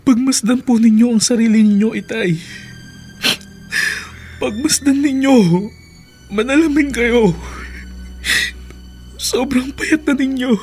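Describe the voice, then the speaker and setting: A man's voice: very high voice, slow pace, pauses often, a little mellow, soft background music. A man playing a dutiful eldest son who pleads earnestly with his father in an emotive radio drama. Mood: anguished.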